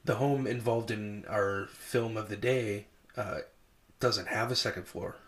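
Soft-voiced laid-back man chatting. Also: on the low side, measured pace. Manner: laid-back, says "like" a lot, self-described soft voice. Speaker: podcast co-host. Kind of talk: casual movie review chat.

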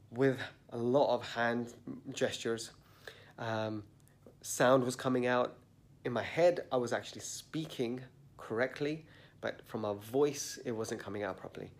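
A man with a mid-range voice and a slightly blocked nose delivers a reflective motivational monologue. He speaks earnestly and deliberately, leaning on key words. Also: mid-range voice. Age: adult, a parent. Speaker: motivational podcast host. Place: sitting indoors at dawn.

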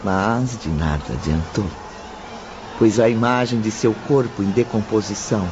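A man's voice: lowish voice, unhurried, background noise. A man narrating a radio drama in a dramatic, scripted style. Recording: muffled, under prominent music.

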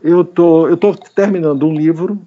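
A man's voice: fairly high pitch, steady tone, moderate pace, narrow, tinny audio. A man speaking as a guest, a writer, in casual conversation, rambling and repeating himself with many fillers. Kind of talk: casual interview chat. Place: home during pandemic.

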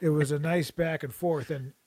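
A man's voice: fairly high pitch, steady tone, moderate pace, darkish tone, no echo, studio quality. A man chatting casually and jokingly, rambling through stories with frequent restarts. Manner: rambling, jokey, restarts often. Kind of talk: casual chat.

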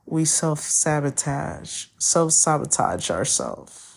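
A woman whose first language is Spanish, hosting a podcast and talking candidly about a breakup, often pausing to find her words. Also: deep voice, very animated, creaky, slightly rough. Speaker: podcast host. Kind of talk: personal monologue.

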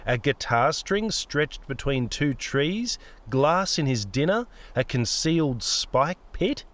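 A man voicing an ancient old woman, a mocking, theatrical grandmother with a croaky edge, in an audiobook over music. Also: a little high, very animated, takes it slowly, a bit bright, full character voice.

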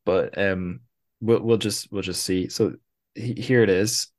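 Male podcast host, notably deep voice, chatty and informal, feels his way through sentences with self-corrections. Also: fairly even intonation, a little slow, a little mellow. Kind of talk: casual interview chat.

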